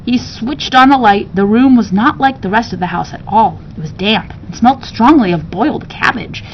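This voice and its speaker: A woman reading a children's storybook aloud with expressive narration. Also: moderate pace. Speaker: story reader. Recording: dull sound with rumbling background noise.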